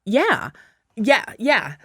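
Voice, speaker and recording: A woman's voice: on the high side, sing-song, extremely slow, fairly bright tone, slightly rough, no room sound. A South African woman guest on a podcast, chatting casually, laughing often and acting out her own past thoughts. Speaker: podcast guest, business owner. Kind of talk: casual interview chat.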